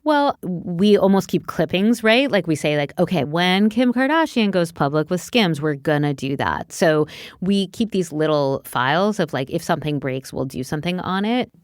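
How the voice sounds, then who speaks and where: A woman's voice: fairly low pitch, expressive, moderate pace, no echo, studio quality. A woman co-hosting a podcast, talking casually and with opinions about craft and stories. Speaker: podcast co-host.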